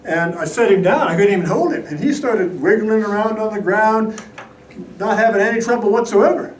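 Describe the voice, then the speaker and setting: High-voiced man, folksy storyteller, very animated delivery, live tall-tale performance, distant mic. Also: normal speed. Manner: folksy, animated, acts out dialogue. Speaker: contest speaker telling a tall tale. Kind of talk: theatrical humorous storytelling to a live audience. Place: indoor meeting room at a live event. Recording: echoey room, distant mic, background noise.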